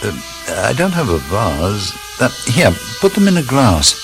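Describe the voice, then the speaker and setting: A man's voice: medium pitch, wildly swooping pitch, over music. An actor playing an amorous, swooning male professor in a radio drama, sighing and murmuring in French. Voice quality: gravelly, slow, sighing, drops into French murmurs.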